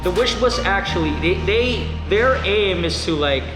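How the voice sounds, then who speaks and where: A Filipino man in his thirties with a Filipino accent and a somewhat high voice, talking modestly in a casual interview, often hesitating. The room is echoey and loud music plays behind him. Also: lively intonation, unhurried. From Philippines. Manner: modest, hesitant, many fillers. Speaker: guest singer-songwriter. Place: deli room.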